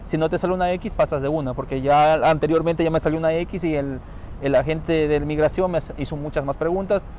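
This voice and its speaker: Interviewee, male, Ecuadorian accent, calm and explanatory, fairly high voice, outdoors with faint traffic. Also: brisk. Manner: calm, explanatory, often trails off softly. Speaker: interviewee, newly arrived asylum seeker. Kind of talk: casual interview answers. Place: outdoors on a city street. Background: faint traffic noise.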